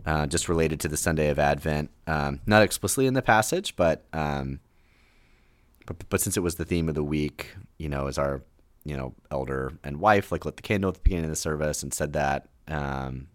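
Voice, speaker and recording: A man with an extremely deep voice, a little hoarse from a cold. He talks in a halting, reflective way, full of ums and restarts. The sound is dry and close. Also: no echo. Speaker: pastor, podcast guest. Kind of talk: casual conversation.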